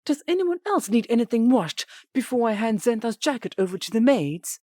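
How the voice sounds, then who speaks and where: A genteel alien prince, played by a voice performer, husky and animated in a mid-range voice. The speech is formal, soft-spoken and hospitable, in audio drama style. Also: mid-range voice, brisk, no echo, studio quality. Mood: gracious. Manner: formal, gracious.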